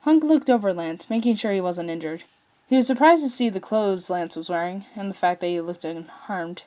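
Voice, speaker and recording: An amateur female storyteller reads aloud in a mid-pitched voice. She puts clear emphasis into phrases and sometimes trips over a word. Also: normal speed, mellow. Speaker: amateur fan fiction reader. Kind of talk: expressive storytelling read-aloud.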